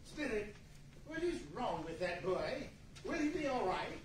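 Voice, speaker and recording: A man playing an anxious, halting, pleading old miser in a 1940s-style radio play. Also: extremely high pitch, big pitch swings, exaggerated voice. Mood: troubled, pleading. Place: large meeting hall before an audience. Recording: distant echoey room with faint noise.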